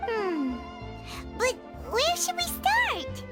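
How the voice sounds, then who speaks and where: A woman voicing a tiny, curious, eager childlike companion creature in a video game, with a squeaky, bubbly delivery. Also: huge pitch swings, extremely slow, heavily acted. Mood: curious, pondering. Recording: game music behind the voice.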